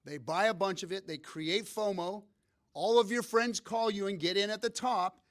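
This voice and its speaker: Man, fairly high voice, seminar panelist, emphatic explainer. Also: moderate pace. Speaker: seminar speaker. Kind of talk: live seminar panel talk.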